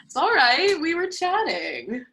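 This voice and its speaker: A woman reading the part of a chatty, naive young college roommate in a play, expressive and opinionated. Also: very high-pitched, unhurried, slight background noise, exaggerated voice. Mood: friendly. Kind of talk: virtual play reading, naturalistic dialogue.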